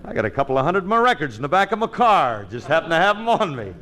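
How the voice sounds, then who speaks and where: Animated, folksy radio comedy bandleader. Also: male voice, on the high side, fast talker. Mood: eager. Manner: folksy, slangy, indignant comic delivery. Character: gullible, exasperated, vain bandleader with folksy slang. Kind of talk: 1940s radio comedy acting. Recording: vintage radio show recording.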